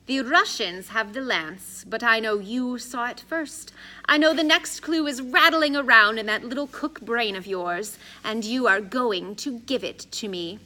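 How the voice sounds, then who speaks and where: A woman voicing an imperious female crime boss in an audiobook, commanding, deliberate and coolly efficient. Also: fairly high pitch, bright, forceful tone, voice pushed up, poor audio. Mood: menacing.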